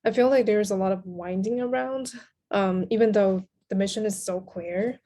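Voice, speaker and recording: A woman's voice: medium pitch, normal speed, warm tone. A woman guest on a podcast, discussing literature casually in a thoughtful, agreeable manner.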